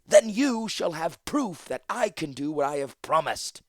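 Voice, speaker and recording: A man voicing a fierce, zealous female warlord with forceful, declamatory speech in a fantasy audiobook. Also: fairly high pitch, big pitch swings, measured pace, no room sound, pitched above the performer's own voice. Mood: defiant.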